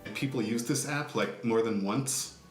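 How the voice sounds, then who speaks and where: Man playing a sketch boss, brisk and incredulous, roomy echo, faint music under him. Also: medium pitch. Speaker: agency boss in a comedy sketch. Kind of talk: sketch comedy acting. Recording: echoey home recording with music.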